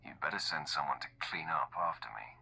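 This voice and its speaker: An actor playing a cold, ruthless young Sith acolyte in a video game, with a menacing, sardonic manner. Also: male voice, a bass voice, lively intonation, fairly quick, vocal fry, sharp tone, full character voice, poor audio. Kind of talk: scripted video game dialogue.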